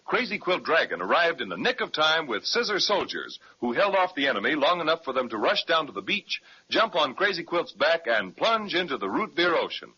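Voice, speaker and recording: Folksy male narrator, 1937 kids' radio serial; animated, muffled transcription sound. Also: a little high, a little fast, few pauses, slightly rough. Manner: folksy, storyteller addressing children.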